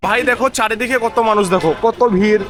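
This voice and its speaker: A man's voice: high-pitched, fairly even intonation, fast. A man with a Bengali accent and a cold, chatting casually and repetitively as he vlogs. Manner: rambling, repeats phrases. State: has a cold. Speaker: vlogger, construction site labour supervisor. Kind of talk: casual vlog chat to camera. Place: outdoors on busy streets and a building site. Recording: background noise from street and construction site.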